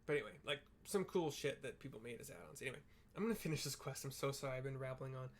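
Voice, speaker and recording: A man's voice: medium pitch, lively intonation, racing, slight background noise. A man giving casual, rambling gameplay commentary as a streamer, explaining things informally as he thinks aloud. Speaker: video game streamer.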